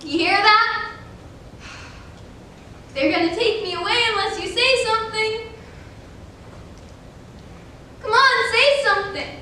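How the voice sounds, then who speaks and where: A young woman playing a desperate, pleading child, with urgent dramatic delivery in a stage reading. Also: pushed and bright. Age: young adult. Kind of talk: competitive reader's theater performance. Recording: echoey room, distant mic, rumbling noise.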